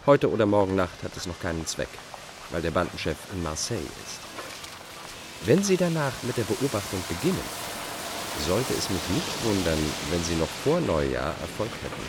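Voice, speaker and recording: A man's voice: low voice, very animated, moderate pace, background noise. An actor playing a bitter, self-justifying Dutch fugitive in a radio drama, setting out his letters in a formal, pedantic way. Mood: coolly formal, mocking. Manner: formal, pedantic, enumerating point by point. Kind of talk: radio drama monologue, letters read aloud.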